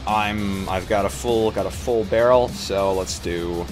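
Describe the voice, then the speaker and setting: Lower-pitched man playing a tabletop game. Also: measured pace, soft background music. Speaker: player in a tabletop roleplay. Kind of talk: casual game chat, thinking aloud.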